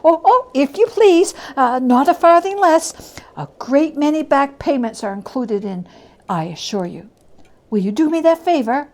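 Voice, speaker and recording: A woman voicing a reformed old miser in a storybook reading, a stammering, effusive and polite old gentleman overflowing with eagerness. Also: high-pitched, animated, measured pace, voice pushed up. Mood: eager, grateful. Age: older.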